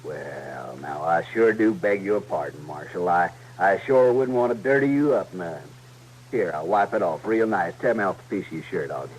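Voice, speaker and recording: An actor with a rustic American accent playing a sadistic, mock-polite Old West outlaw leader in a radio western. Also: male voice, sits low, animated, normal speed. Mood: mock-polite, menacing. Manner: folksy, sneering, mock-courteous. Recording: noisy vintage radio recording with hum.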